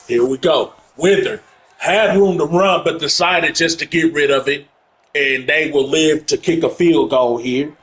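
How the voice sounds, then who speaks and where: A man doing casual play-by-play of his own football video game, his voice congested from allergies. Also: medium pitch. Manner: casual play-by-play, gets loud and excited on big plays. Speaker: gamer playing through a football game. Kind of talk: play-by-play commentary on own gameplay.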